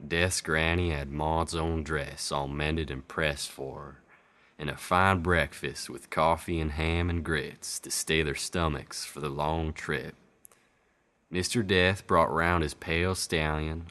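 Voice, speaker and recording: A man's voice: very deep voice, a bit bright. A man narrating a radio drama as a folksy, colloquial tall-tale storyteller. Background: music.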